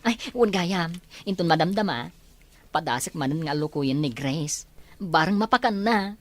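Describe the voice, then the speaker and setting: A woman's voice: low-pitched, animated, normal speed. An actress playing an earnest, patient woman in a radio-style family drama. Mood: explaining.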